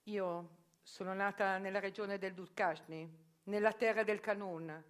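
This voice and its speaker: A woman voicing a proud, tough sixty-six-year-old woman who lives as a man, answering firmly in a raspy voice. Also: medium pitch, steady tone, a little slow, natural voice. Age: 60s. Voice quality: raspy, like a heavy smoker. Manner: firm, proud, emphatic answers.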